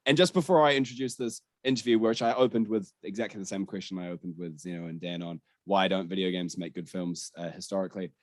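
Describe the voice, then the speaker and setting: A man's voice: low voice, quick pace, hardly any pauses, dry recording, clean studio sound. A man hosting, chatting casually and putting questions to guests. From New Zealand. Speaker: podcast host and interviewer. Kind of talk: casual podcast chat and interviewing.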